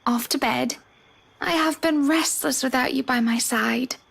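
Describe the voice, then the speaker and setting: Guy doing a high creaky lady voice, loving wife, gentle n formal, bit eerie, horror game. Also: unhurried, heavily acted. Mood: doting. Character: soft, affectionate wife, composed and faintly eerie.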